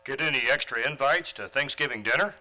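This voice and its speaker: A man's voice: mid-range voice, a little fast, sharp tone, poor audio. An actor playing an earnest, naive, mild-mannered male schoolteacher in a comedic radio play. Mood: sheepish.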